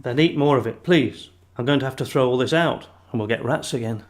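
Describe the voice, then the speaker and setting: An actor playing a gentle, chatty elderly grandfather in an audio play, fond, reflective and a little halting. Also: medium pitch, natural voice. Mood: fond, coaxing. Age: older.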